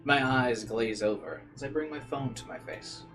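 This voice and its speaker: A man's voice: mid-range voice, expressive, moderate pace, fairly bright tone, soft background music. A man reading visual novel narration aloud as a streamer, dropping into informal side comments and occasionally stumbling over words.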